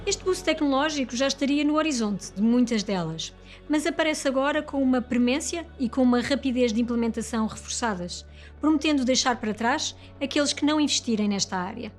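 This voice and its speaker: Higher-pitched woman narrating in European Portuguese accent. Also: brisk. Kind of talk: scripted corporate report narration. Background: music.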